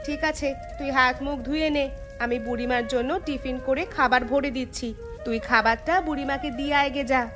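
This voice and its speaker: A woman playing a brisk, practical, nagging village housewife and mother in a cartoon. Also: sits high, fairly even intonation, over music, natural voice. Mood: businesslike. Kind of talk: cartoon dialogue acting.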